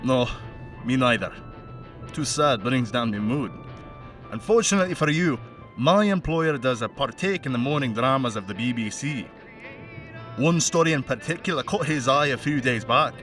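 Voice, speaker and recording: A man playing a mocking, menacing crime henchman with a put-on Scottish accent in an audio play monologue, chatty and sardonic with threatening pauses. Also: medium pitch, expressive, fairly bright tone, distant mic, faint music behind, natural voice. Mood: sardonic storytelling. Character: menacing, mocking male crime henchman taunting a bound captive.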